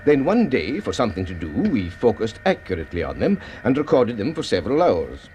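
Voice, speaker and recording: A man playing a grave, secretive radio astronomer with a Scottish accent, phrasing deliberately in a radio drama. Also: lowish voice, huge pitch swings, darkish tone, faint music behind. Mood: guarded, confiding.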